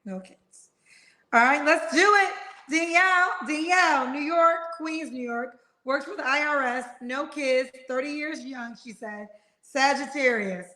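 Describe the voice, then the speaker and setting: A woman hosting a matchmaking show, briskly quizzing a caller and calling her baby and honey. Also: high voice, pushed and bright, echoey room. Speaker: show host interviewing a caller.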